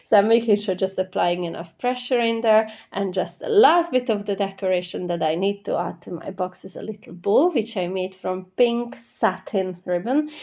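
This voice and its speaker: A woman's voice: mid-range voice, gravelly, warm tone. A woman, congested with a cold and slightly nasal, gives an informal, chatty step-by-step craft tutorial voice-over. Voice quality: stuffy nose, slightly nasal.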